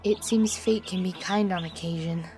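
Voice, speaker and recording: A woman playing a young changeling princess in an audio drama, proud, anxious and easily exasperated, speaking in a haughty, expressive way. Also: on the low side, normal speed, lightly acted. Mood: musing, determined. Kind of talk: dramatized fan fiction audio acting.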